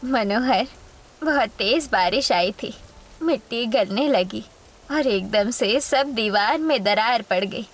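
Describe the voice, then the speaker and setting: A woman's voice: fairly high pitch, slow pace. A woman voicing a worried, careworn mother of a poor household in a story cartoon, plaintive and earnest. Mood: sorrowful. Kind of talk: Hindi moral-story cartoon dubbing.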